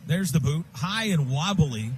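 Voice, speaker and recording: American man, high voice, radio play-by-play, clipped urgent delivery, noisy stadium broadcast. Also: expressive, a little slow. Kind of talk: live football play-by-play commentary. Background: clear stadium noise.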